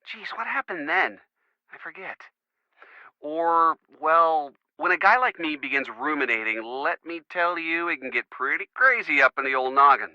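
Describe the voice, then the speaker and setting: An earnest young boyfriend for a video game phone call. His voice sits a bit high and moves in lively swings, boyish and hesitant as he rambles. The sound is dull and lo-fi, with little room around it. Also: moderate pace, no room sound. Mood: earnest, tender. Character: earnest, devoted young college boyfriend of a girl detective.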